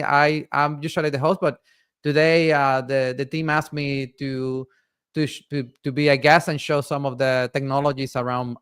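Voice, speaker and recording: A man from Puerto Rico hosting a casual tech live stream, talking in halting bursts with lots of fillers and restarts. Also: mid-range voice, a bit bright, clean tone. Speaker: community host and guest presenter.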